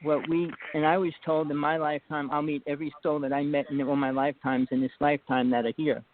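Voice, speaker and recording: A man calling in to the show and speaking in a hesitant, halting way. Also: a little high, level tone. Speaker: caller. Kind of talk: casual talk on a call. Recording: phone line with faint noise.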